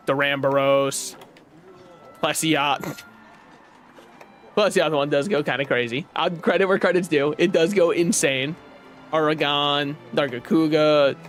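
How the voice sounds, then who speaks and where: A young man streaming a game from his apartment, chatting casually in a rambling, self-mocking way with a booming voice. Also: fairly bright tone. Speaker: vtuber streamer. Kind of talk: casual live-stream chat while gaming. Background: faint game music.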